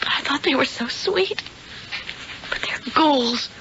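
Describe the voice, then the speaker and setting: A woman's voice: high voice, animated, takes it slowly, heavily acted. A woman playing a vulnerable, earnest young woman in a 1970s radio drama. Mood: shaken. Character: vulnerable young woman, shaken but sharp-witted. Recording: muffled, noisy old radio broadcast.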